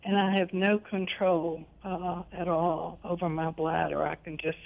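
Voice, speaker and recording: Slow halting woman in her eighties. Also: fairly low pitch, level tone, creaky. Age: 80s. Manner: slow, halting, repeats herself. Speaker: caller. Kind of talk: call-in question. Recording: phone line.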